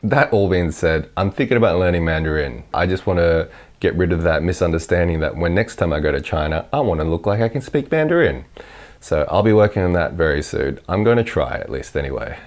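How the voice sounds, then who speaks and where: A man's voice: sits low, animated, a little fast. A young Australian man telling stories in a casual, conversational vlog. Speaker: vlogger.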